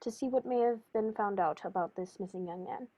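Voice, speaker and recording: A woman playing a composed, courteous male detective with formal, measured phrasing in a radio play. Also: medium pitch, loud music behind the voice, close to an everyday voice. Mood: brisk. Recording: dull lo-fi recording.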